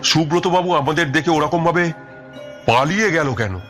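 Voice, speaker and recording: An actor playing a brooding, resolute detective in an audio story, speaking in a deliberate, dramatic way. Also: male voice, fairly high pitch, fairly bright tone, lightly acted. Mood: pensive. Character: brooding, resolute male detective, shrewd leader. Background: music.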